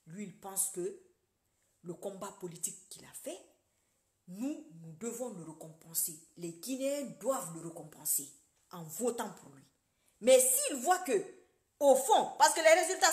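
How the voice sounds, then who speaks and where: A woman giving a casual political monologue to her online followers. She speaks straight to the viewers in a preachy, animated way, swinging between loud emphatic bursts and quiet muttered asides. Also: mid-range voice, echoey room. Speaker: social media commentator.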